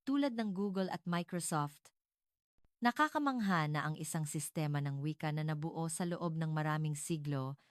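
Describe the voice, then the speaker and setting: Low-pitched woman narrating a story, dry sound. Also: dry recording. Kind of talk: scripted dramatic first-person story narration.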